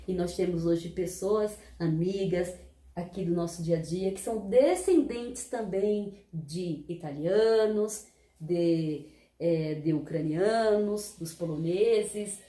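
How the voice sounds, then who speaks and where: Woman teaching children, mellow voice. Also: medium pitch, echoey room. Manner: patient, explanatory, asks the class rhetorical questions. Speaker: primary school teacher. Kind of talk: recorded history lesson for children.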